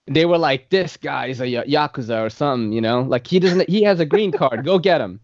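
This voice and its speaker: A man in his late thirties hosts a loose podcast chat, easygoing and quick to laugh, his speech full of "like" and "man". The recording is dry and a little dull, lo-fi with no room sound. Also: on the high side, animated, dry recording. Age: 30s. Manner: laid-back, laughs often, says "like" and "man" a lot. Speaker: podcast host. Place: New York City.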